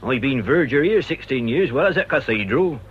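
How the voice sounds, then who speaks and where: A man plays a stubborn old church verger in a British radio drama. He talks in blunt, colloquial British speech with widely moving pitch. The recording is dull and a little noisy. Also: animated, normal speed, close to an everyday voice. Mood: grumbling. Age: older. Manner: plain-spoken, impatient, colloquial.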